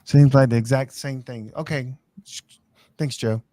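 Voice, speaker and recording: A man's voice: medium pitch, fairly even intonation, measured pace, mellow, no room sound. A man with an American accent hosting a casual live stream, rambling through tech advice.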